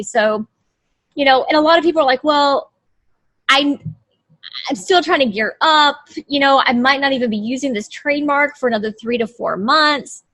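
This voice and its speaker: A woman's voice: high-pitched, fairly bright tone. A woman from the United States, a trademark lawyer, talking through her screen on a video call in a chatty way, often restarting her phrases. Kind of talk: casual explanatory chat over screen share.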